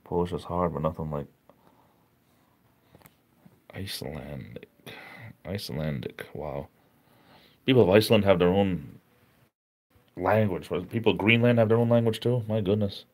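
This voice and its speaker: A tired middle-aged man hosting a casual live stream, reading the chat aloud, relaxed and chatty and easily amazed. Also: deep voice, normal speed, pauses often.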